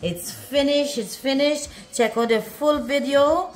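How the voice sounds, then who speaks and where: Slow-talking woman in an echoey kitchen. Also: high voice, soft background music. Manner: repetitive, exclaiming over the food. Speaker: food vlog host. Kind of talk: casual cooking vlog chat. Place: home kitchen, indoors.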